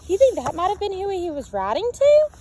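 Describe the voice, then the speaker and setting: High-pitched woman reading a picture book aloud. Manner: expressive, sing-song on repeated calls, questioning listeners. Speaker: storybook reader. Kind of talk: read-aloud narration of a picture book with asides to children. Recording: steady background noise.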